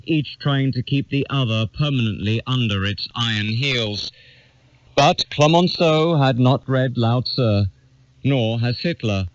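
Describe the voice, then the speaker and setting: A man reading a literary essay aloud in a measured, formal manner. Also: mid-range voice, dry recording, dull audio. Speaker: reader of an essay.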